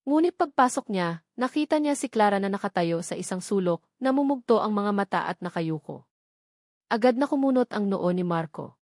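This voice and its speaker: A woman's voice: medium pitch, lively intonation, normal speed, darkish tone, dry recording, studio quality. A woman reads a scripted romance story as narrator, in a dramatic, emphatic storytelling manner.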